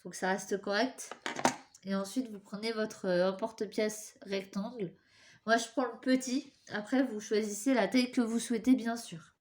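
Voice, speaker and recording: A woman's voice: medium pitch, fairly even intonation, normal speed, a little hiss or hum. Young woman with a head cold, congested nose, talking viewers through a craft tutorial in a chatty, apologetic way. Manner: chatty, apologetic, talks while working with her hands. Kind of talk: casual step-by-step craft tutorial.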